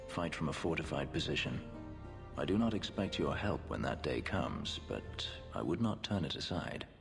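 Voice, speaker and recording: A man voicing a guarded, brooding elf fugitive in a video game, gravelly, measured and dry-witted. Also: very deep voice, exaggerated voice. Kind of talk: dramatic video game acting. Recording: long reverb, music underneath. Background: music.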